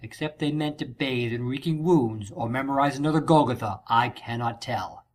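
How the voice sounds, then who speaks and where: A man's voice: mid-range voice, moderate pace, natural voice. Man acting a declamatory wounded soldier. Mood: grim, fervent. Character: wounded soldier reporting a bloody battle to his king. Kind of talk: dramatic audiobook reading of Shakespeare verse.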